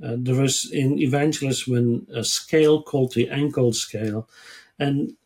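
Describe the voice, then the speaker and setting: A man of about sixty from the Netherlands, a guest answering interview questions in a measured, earnest way and pausing to find his words. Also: medium pitch, steady tone, a little mellow, slightly rough. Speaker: guest, ministry worker and lecturer. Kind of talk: interview answers, personal storytelling.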